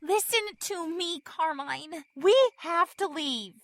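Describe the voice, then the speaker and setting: A woman's voice: extremely high pitch, slow, fairly bright tone, no room sound, heavily acted. A woman playing an injured, sarcastic teenage mean girl in an audio drama, biting and demanding. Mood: urgent, tense.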